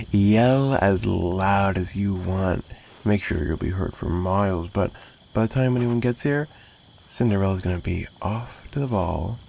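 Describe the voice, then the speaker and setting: A man acts out a dominant mafia boss in an intimate ASMR roleplay. His voice is very low and crackles with gravel. He is smug, flirtatious and quietly menacing as he taunts his captive, over a faintly noisy background. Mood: mocking, teasing.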